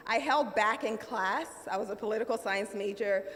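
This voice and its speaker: A middle-aged woman with a Nigerian accent gives a motivational keynote speech, measured and emphatic, with dramatic pauses. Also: mid-range voice, fairly bright tone. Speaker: keynote speaker. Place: large conference hall. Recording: roomy hall reverb, faint room noise.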